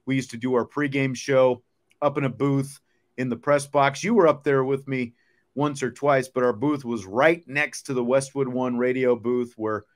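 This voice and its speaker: A man's voice: mid-range voice, fairly even intonation, moderate pace. A middle-aged man hosting a sports show in a casual, conversational style. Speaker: sports talk show host.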